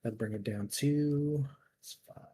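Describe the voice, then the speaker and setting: A man's voice: medium pitch, unhurried, mellow, slight background noise. A man taking part as a player in a tabletop game, chatting casually and thinking aloud in an informal, musing way. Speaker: player creating a character.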